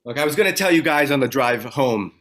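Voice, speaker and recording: A man's voice: medium pitch, expressive, fast talker, a bit bright, natural voice. A man playing an earnest oil rig worker and family man nearing forty, in a table read of a drama script. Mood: apologetic.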